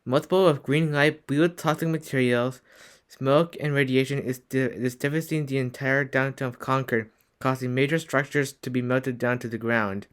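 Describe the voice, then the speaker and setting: A man's voice: mid-range voice, steady tone, moderate pace, hardly any pauses, lightly acted. A man playing an official emergency alert announcer, reading a formal scripted warning. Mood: urgent. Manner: formal, listing.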